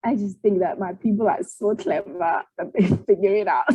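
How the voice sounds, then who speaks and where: A woman co-hosting a maths lesson, chatty and quick to laugh. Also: medium pitch, mellow, husky, no echo, lo-fi sound. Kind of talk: casual lesson banter.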